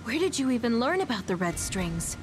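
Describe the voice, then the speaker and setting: An actress playing a resolute young soldier heroine in a video game, firm, direct and controlled. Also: female voice, a little high, sing-song, fairly quick, music in the background. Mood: firm challenge. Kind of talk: dramatic video game acting.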